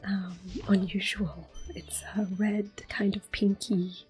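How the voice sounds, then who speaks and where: A woman giving soft-spoken, often whispered ASMR commentary as she plays through a video game. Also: mid-range voice, level tone, slow pace, poor audio. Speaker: host of an ASMR gameplay series. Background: game music.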